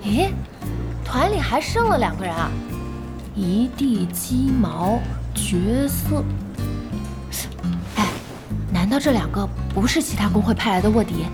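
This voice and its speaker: A woman's voice: mid-range voice, sing-song, unhurried, pauses often. A woman acting a spirited young gamer's friend in an audio drama, bantering and easily amused. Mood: amused, teasing. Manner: giggly, bantering. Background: music.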